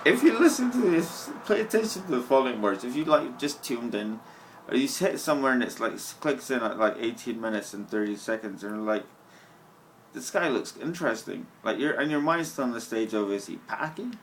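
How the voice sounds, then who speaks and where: A man's voice: mid-range voice, animated, a little fast, slight background noise. Rambling young man, wildly swooping voice. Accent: Scottish-tinged. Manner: profane, stream-of-consciousness, laughs at himself. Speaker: vlogger. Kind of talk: rambling monologue to camera. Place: outdoors at a bus stop, then walking. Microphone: camera mic.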